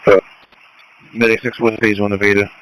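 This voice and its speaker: A man's voice: lowish voice, little pitch movement, normal speed, fairly bright tone. A man giving terse emergency call signs and requests over a two-way radio. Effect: two-way radio transmission. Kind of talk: scanner radio traffic. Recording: faintly noisy, clicking radio channel.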